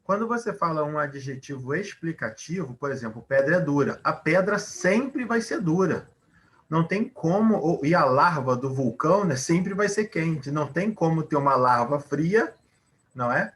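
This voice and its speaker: A man's voice: a little high, expressive, moderate pace, fairly bright tone. A man teaching a Portuguese grammar lesson, explaining at length, asking rhetorical questions and using many fillers.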